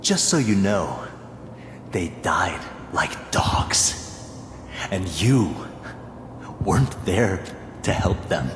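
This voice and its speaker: A man's voice: sits low, sing-song, extremely slow, pauses often, roomy sound, big-room sound, noisy background, full character voice. A man playing a cruel, gloating criminal in a video game, taunting with vengeful menace. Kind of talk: video game villain acting.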